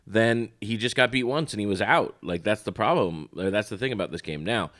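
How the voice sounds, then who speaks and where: A man co-hosting a podcast in casual, chatty talk, his voice slightly shot and hoarse. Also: fairly low pitch, animated, a little fast, a bit bright. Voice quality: slightly worn, tired-sounding voice. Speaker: podcast co-host.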